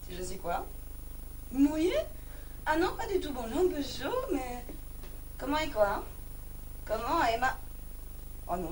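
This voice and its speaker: Actress doing a naive excitable lady in a one-woman comedy show, all indignant and dramatic, pitch all over the place, mic far off, noisy recording. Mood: flustered, puzzled. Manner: exclamatory, melodramatic, repeats words, talks to unseen listeners. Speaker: comic stage performer. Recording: distant mic, noisy live room recording.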